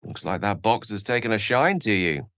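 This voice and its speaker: A man's voice: on the low side, expressive, normal speed, a bit bright, clear, resonant tone, no echo, clean studio sound. An actor voicing a learned, witty, self-assured male wizard in a video game, with a wry, theatrical and bookish way of speaking. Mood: wry, teasing. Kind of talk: video game character voice acting.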